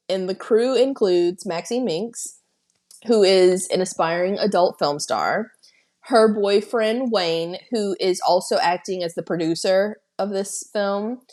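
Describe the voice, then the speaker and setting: A woman co-hosting a casual podcast about films. Her voice sits at an ordinary middle pitch, and she walks through the plot in a chatty way, breaking into lively asides. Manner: chatty, retells scenes with excited quoted asides. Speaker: podcast co-host. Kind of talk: casual film-recap chat.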